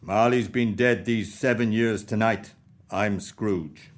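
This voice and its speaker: Man acting out a miserly old grouch, gruff, dismissive, Irish accent, radio play. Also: lowish voice, fairly even intonation, slow, a little hiss or hum. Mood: sardonic. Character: miserly, grumpy old male moneylender, cold and curt.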